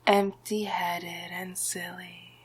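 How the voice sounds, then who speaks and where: A smug villain sorcerer played by a woman speaks in a husky, breathy hush for an ASMR roleplay. The pace is extremely slow and deliberate, often slipping into whispers. He gloats and commands like a hypnotist, domineering and sure of his power. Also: fairly low pitch, flat delivery, exaggerated voice. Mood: domineering, hypnotic.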